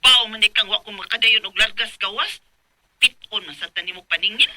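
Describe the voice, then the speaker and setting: An actress playing a brazen, wheedling, hot-tempered elder sister in a radio drama, with an emphatic, insistent delivery. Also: female voice, medium pitch, brisk, pushed and bright, slight background noise. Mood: indignant, scolding. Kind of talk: Filipino radio drama acting.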